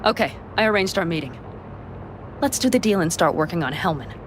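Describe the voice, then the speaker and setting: Blunt, animated young nomad woman played by an actress. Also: fairly low pitch, fairly quick, close to an everyday voice. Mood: businesslike, wary. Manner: blunt, colloquial, swearing. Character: tough, hot-headed young nomad smuggler woman, loyal to clan. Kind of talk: video game cutscene acting. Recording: clear ambient vehicle noise behind.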